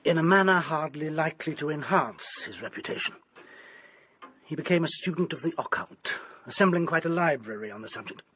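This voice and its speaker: Actor as intense, bright-voiced English doctor. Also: male voice, fairly high pitch, a little fast, slight background noise, natural voice. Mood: grave, confiding. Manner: formal, dramatic, urgent pleading and grave storytelling. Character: agitated, desperate male doctor obsessed with an occult book. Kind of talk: British radio drama acting.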